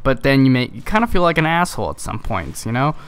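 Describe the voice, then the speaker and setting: Teen male YouTuber, casual rambling storyteller, smooth clear voice, mid pitch, exasperated asides. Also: sing-song, fast talker, fairly bright tone. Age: teenager. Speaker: video game YouTuber.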